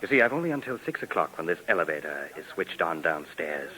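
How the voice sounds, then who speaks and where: A greedy radio-play villain, sharp-voiced. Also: male voice, deep voice, quick pace, exaggerated voice, low-quality recording. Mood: smug, courteous. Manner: mock-polite, smoothly menacing, turning frantic when excited. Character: greedy, gloating male killer hunting hidden loot. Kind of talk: 1950s radio drama acting. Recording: old 1950s radio recording.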